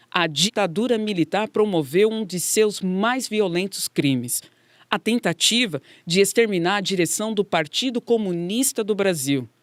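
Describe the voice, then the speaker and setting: A woman's voice: medium pitch, dry recording. A woman with a Brazilian accent reading scripted narration for a radio documentary.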